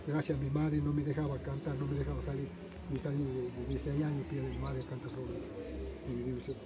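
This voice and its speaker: An older man from the Calchaquí Valleys, mid-pitched and plain-spoken. He answers in halting bursts, circling back over his own words. Loud outdoor noise runs behind him, with birds and animals around. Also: little pitch movement. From Calchaquí Valleys, northwest Argentina. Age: 60s. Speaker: folk singer interviewed. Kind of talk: casual interview answers.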